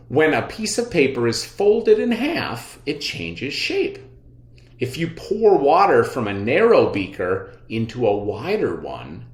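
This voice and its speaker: Man, elementary teacher, highly varied pitch, unhurried pace, expressive explaining, read-aloud lesson style, roomy echo. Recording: echoey room sound.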